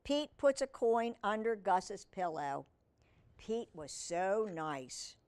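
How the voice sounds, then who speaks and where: A woman's voice: mid-range voice, slow pace. A woman reading a picture book aloud to young children, speaking expressively and putting questions to the listener. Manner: expressive, asks the listener questions, simple child-directed wording. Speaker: children's storyteller and humane educator.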